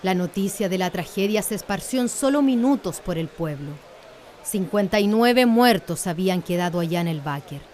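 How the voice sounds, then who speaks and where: A woman's voice: medium pitch, expressive, moderate pace, a little mellow. A woman narrating a scripted radio drama in a solemn storytelling manner. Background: faint music.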